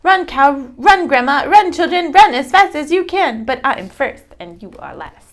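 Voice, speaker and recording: A woman's voice: high voice, sing-song, normal speed, pitched above the performer's own voice. A woman reading a storybook, playing a cheeky, boastful little gingerbread man in a teasing, playful way. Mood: gleeful taunting.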